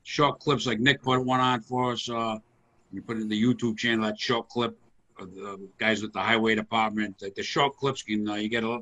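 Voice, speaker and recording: A man hosts a relaxed video call chat with a bright, clear voice. He talks in a chatty, encouraging way and often repeats his words as he goes. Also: on the low side, brisk. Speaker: host.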